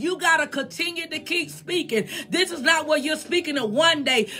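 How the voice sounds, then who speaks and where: High, bright-voiced American woman preaching. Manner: emphatic, urging, repetitive refrains. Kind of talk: exhortatory sermon.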